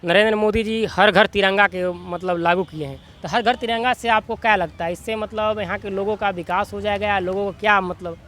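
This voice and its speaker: A man's voice: high-pitched, steady tone, a little fast, few pauses, fairly bright tone. A man asking informal, leading questions as a street interviewer.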